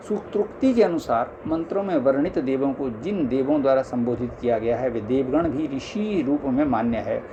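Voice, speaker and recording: A man with a somewhat higher-pitched voice reads scholarly scripture aloud in a formal way. It sounds like a homemade audiobook, with clear noise behind the voice. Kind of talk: reading scholarly religious text aloud.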